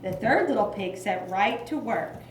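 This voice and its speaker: A woman's voice: medium pitch, expressive, a little slow. A woman narrating a scripted children's story for a puppet show. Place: indoor room at a library. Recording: very echoey room, distant mic, clear noise.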